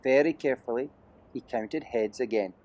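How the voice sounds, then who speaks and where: A man's voice: medium pitch, moderate pace, dark tone, no room sound. A man narrating an adventure audiobook as a dramatic, theatrical storyteller with emphatic delivery.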